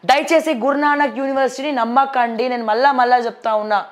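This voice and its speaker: A woman's voice: high-pitched, steady tone, brisk. A woman with a Telangana accent presenting news headlines with informal, outspoken commentary and some English words mixed in.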